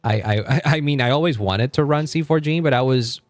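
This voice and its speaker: A man's voice: medium pitch, brisk, no echo. A man live streaming in a casual, rambling way, thinking aloud and often chuckling as he chats with viewers. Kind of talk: casual gaming livestream chat.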